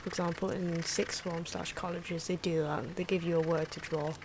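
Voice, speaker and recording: A soft-spoken woman with a fairly low voice talks through her drawing as ASMR. Her delivery is hesitant and unpolished. The recording has clear noise, including the sounds of pencils and markers. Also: steady tone, normal speed. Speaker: artist narrating own drawing video.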